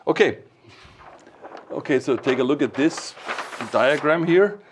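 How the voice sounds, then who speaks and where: A man giving an informal technical explanation as an expert, in halting, piecemeal phrases. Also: fairly high pitch, animated, background noise.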